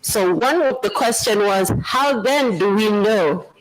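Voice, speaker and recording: A presenter from Zambia with a Zambian accent, giving a formal slide presentation in a measured, explanatory way. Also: mid-range voice, lively intonation, low-quality recording. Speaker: government trade official and presenter.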